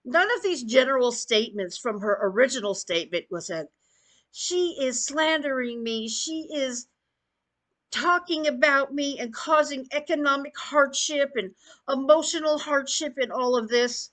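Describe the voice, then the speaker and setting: A woman delivers an opinionated commentary rant in a fairly high voice. She takes her time, speaking slowly while her pitch swings widely for emphasis. Her manner is blunt and scornful. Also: expressive, fairly bright tone. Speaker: YouTube commentator.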